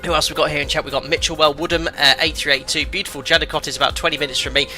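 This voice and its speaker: Man, live-stream host, croaky, congested from a cold, lots of uhs and ums, bright sharp tone, faint music behind. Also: on the high side, steady tone, racing. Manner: chatty, many filler ums and uhs. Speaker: streamer and airline pilot.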